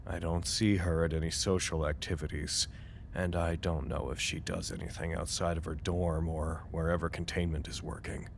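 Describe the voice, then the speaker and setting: Reflective facility worker, deep voice, solemn monologue, audio drama, slight background noise. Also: male voice, flat delivery, normal speed, gravelly. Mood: somber, reflective. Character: weary, reflective male staff member of a secretive facility.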